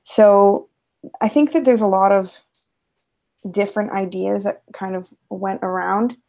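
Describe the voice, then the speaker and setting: A woman's voice: medium pitch, steady tone, measured pace, vocal fry, warm tone. A young adult woman on a video call, chatting casually and peppering her speech with "like". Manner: casual, many fillers like "like". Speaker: panel guest. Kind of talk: casual panel discussion. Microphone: video call mic.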